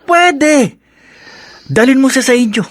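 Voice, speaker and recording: A man's voice: very high-pitched, expressive, a little slow, fairly bright tone, no room sound, clean studio sound, full character voice. Pushy, teasing male friend. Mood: insistent. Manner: teasing, insistent. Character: pushy male friend giving bad advice. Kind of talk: radio drama acting.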